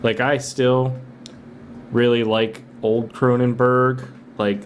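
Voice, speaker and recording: A man's voice: medium pitch, little pitch movement, takes it slowly, slight background noise. A man co-hosting a film podcast in casual, conversational discussion.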